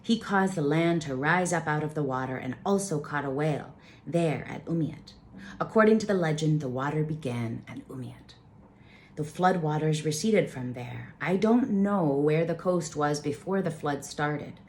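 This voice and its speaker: Low-pitched woman reading stories aloud. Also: lively intonation, normal speed, a little mellow, a little hiss or hum. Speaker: storyteller reading transcribed legends aloud. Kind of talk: reading aloud with informal asides.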